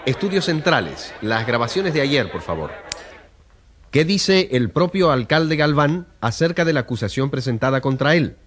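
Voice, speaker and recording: An actor playing a courteous, formal radio reporter giving measured live coverage in a radio drama. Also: male voice, mid-range voice, very animated, a bit bright, slight background noise. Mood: businesslike. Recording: crowd murmur behind voice.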